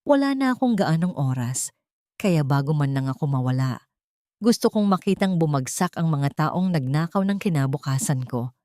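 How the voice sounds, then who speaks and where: Woman narrator as a serious bitter guy, worn out but determined, low voice, drama story. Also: lively intonation, moderate pace, a little mellow, dry recording, clean studio sound. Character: sickly, bitter, determined man, disgraced former lawyer.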